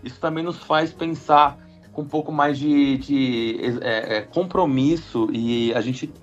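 A man speaking as a guest on a radio show, his pitch in an ordinary middle range. He rambles enthusiastically with plenty of fillers and restarts. The sound is a little dull, with faint music underneath. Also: slow. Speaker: double bassist, guest. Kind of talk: radio interview.

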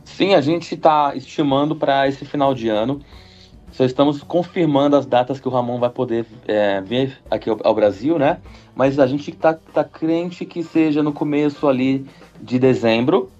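Rambling musician guest, dull lo-fi sound. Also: male voice, mid-range voice, normal speed, darkish tone, soft background music. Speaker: double bassist, guest. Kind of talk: radio interview.

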